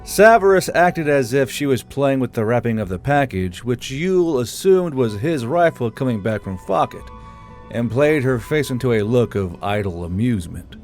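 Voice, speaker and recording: A man's voice: medium pitch, sing-song, moderate pace, a little mellow. A man reading scripted fantasy fiction as an audiobook narrator. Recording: background music under the voice.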